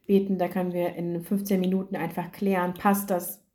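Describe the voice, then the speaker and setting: Leicht heisere Podcasterin, plaudernd. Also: female voice, fairly low pitch, level tone, brisk, dark tone. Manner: chatty, many filler sounds and restarts. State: slightly hoarse, clearing her throat. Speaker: podcast host and academic writing coach. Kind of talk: casual solo podcast monologue.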